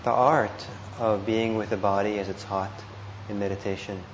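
A man with a fairly low voice leads a guided meditation in an echoey room. He speaks as a teacher, gently and a little hesitantly, leaving pauses between short phrases. The recording is somewhat muffled, with clear background noise. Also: fairly even intonation. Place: indoor meditation hall. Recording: muffled, roomy live talk with noise.

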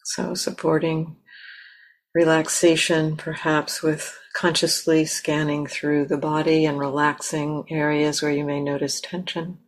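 A woman leading a guided meditation as a teacher, speaking gently and unhurriedly with long pauses. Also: low-pitched, little pitch movement.

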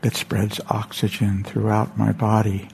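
Male meditation teacher, lowish pitch, soft dark tone, gentle, unhurried, pausing often, light background noise. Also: monotone, vocal fry. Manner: gentle, unhurried, repeating phrases. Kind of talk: slow guided meditation with long pauses.

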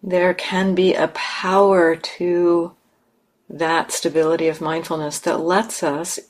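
A woman leading a guided meditation in measured, instructive phrases with long pauses. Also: lowish voice, level tone. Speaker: meditation teacher.

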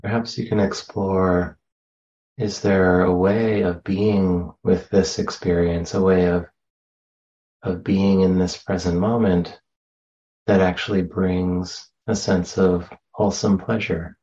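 A man guides a meditation in a low, mellow voice. His delivery is very slow and gentle, with long pauses between phrases. The sound is slightly dull. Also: flat delivery. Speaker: meditation teacher.

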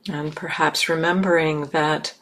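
A woman leading a guided meditation as a teacher, speaking in short, measured phrases with pauses between them. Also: deep voice, fairly even intonation, a bit bright, poor audio.

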